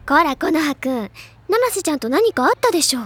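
A woman playing a cheerful, dreamy teenage schoolgirl who loves literature, expressive and teasing, in a Japanese audio drama. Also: high-pitched, brisk, noisy background.

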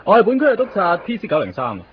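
An actor playing a casual, probing Hong Kong police constable in a 1990s Cantonese radio drama. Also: male voice, high-pitched, wildly swooping pitch, racing. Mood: brisk, offhand. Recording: dull lo-fi radio recording, faint noise.

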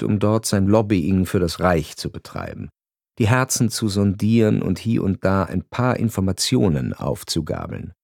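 A low-voiced man narrates a literary radio piece with a gravelly edge. His delivery is measured and quietly wry. The studio sound is close and dry, with no room around him. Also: no echo.

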